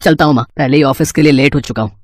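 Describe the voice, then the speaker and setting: A man voicing an irritable, ambitious young husband with a brisk, exasperated manner in a cartoon story. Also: fairly high pitch, no room sound, studio quality, lightly acted. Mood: brisk, earnest. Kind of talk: Hindi moral story cartoon acting.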